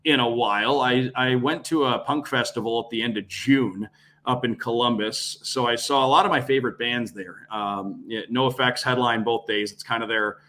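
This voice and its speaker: A man, a radio play-by-play announcer, chatting casually as a podcast guest in a relaxed, rambling way with many restarts. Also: mid-range voice, normal speed.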